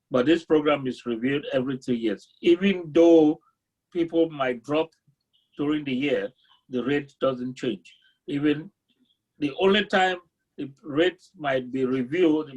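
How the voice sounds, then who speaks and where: A man's voice: mid-range voice, breathy edge, low-quality recording. A man from Cameroon, an insurance representative, earnestly explaining a group policy at a meeting.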